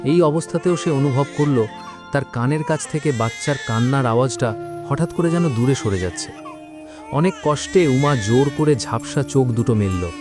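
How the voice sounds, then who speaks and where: A man narrating a scripted horror story in a dramatic style. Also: mid-range voice. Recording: loud background music. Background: prominent dramatic music.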